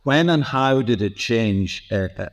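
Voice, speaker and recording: A man interviews a guest in a voice of ordinary pitch. He asks thoughtful, searching questions and stumbles into small hesitations now and then. His delivery is plain and unhurried. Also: lively intonation.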